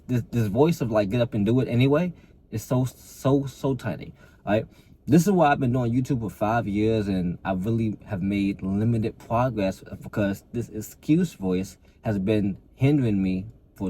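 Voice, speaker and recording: Low mellow-voiced man coaching fitness. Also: moderate pace, slight background noise. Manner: repeats and restarts words, earnest motivational push. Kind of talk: casual talk to camera.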